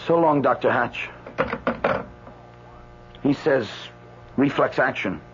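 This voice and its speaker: A man's voice: mid-range voice, takes it slowly, lightly acted. An actor in a radio drama playing an anxious, rattled doctor, hesitant and repeating himself as he talks. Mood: nervous, deferential. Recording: dull old radio broadcast, faint hum.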